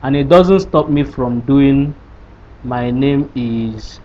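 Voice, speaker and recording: A man's voice: mid-range voice, takes it slowly, warm tone. Man teaching code over dull audio. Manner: explanatory, walks through code step by step, asks rhetorical questions. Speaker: programming tutor. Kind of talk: screen-recorded coding lesson. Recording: dull lo-fi sound with faint hiss.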